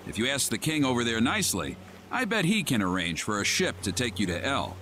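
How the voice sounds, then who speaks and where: A man's voice: medium pitch, wildly swooping pitch, brisk, pushed and bright, a little hiss or hum. A man voicing a laid-back, wry sea captain who gives advice in a video game. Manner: casual, wry and talkative. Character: laid-back middle-aged male ship captain. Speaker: ship captain.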